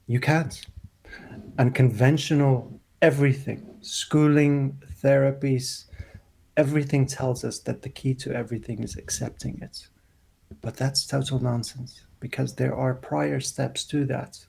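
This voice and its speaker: Man, interview host, gravelly, mellow tone, emphatic, video call. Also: medium pitch. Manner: emphatic, gestures with his hands. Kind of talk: conversational interview. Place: small room, plain wall. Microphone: video call, wired earphones.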